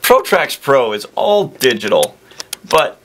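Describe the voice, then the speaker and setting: A young man earnestly presenting a parody software demo, rambling with lots of fillers. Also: mid-range voice, sing-song, takes it slowly, a little hiss or hum. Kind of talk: deadpan parody product demo.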